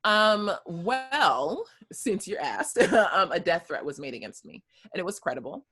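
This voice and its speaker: A woman's voice: fairly low pitch, big pitch swings, unhurried, pushed and bright, husky. An American woman in her thirties, a guest telling her story in casual conversation, with many fillers and easy laughs. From United States.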